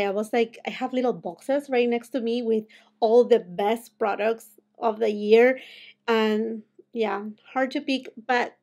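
Chatty Peruvian-accented woman in her forties. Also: sits high. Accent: Peruvian Spanish. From Peru. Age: 40s. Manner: animated, gestures a lot while talking. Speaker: beauty vlogger. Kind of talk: makeup tutorial chat. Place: home room with holiday decor.

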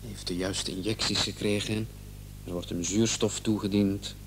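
A man's voice: lowish voice, steady tone, moderate pace, close to an everyday voice. A man in a radio drama playing a hospital doctor who is placating and condescending. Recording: old radio play, faint noise and hum.

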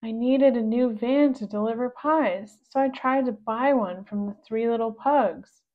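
A woman's voice: fairly high pitch, measured pace, narrow, tinny audio, lightly acted. A woman voicing a timid wolf baker who scares easily, speaking plaintively in a children's storybook. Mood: worried.

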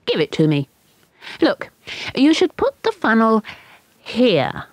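A woman's voice: lowish voice, lively intonation, normal speed, breathy edge, no echo, voice pushed up. A woman voicing a scornful, meddling little girl who turns sulky and pleading. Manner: bossy and sulky.

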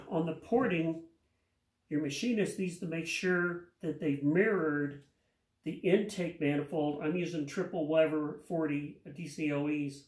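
A man's voice: a little high, measured pace, a little mellow. An older man explaining an engine rebuild in his home garage, talking in a plain, practical way and repeating words as he works. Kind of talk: hands-on how-to explanation. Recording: echoey garage.

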